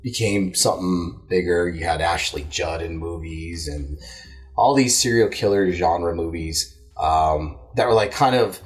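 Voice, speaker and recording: A man's voice: low-pitched, unhurried. A man hosting a podcast, chatting casually and swearing freely in a rambling, informal way. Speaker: podcast host.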